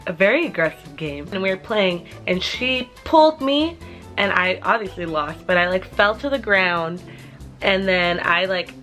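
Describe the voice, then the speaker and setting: A woman's voice: mid-range voice, lively intonation, moderate pace, bright, forceful tone, soft background music. Chatty young woman rambling to camera. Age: 20s. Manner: chatty, rambling with many "like" fillers. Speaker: vlogger. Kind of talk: casual Q&A chat to camera.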